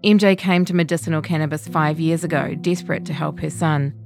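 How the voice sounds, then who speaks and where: A woman's voice: sits low, steady tone, fairly quick, vocal fry, over music. New woman narrating a scripted feature. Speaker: reporter.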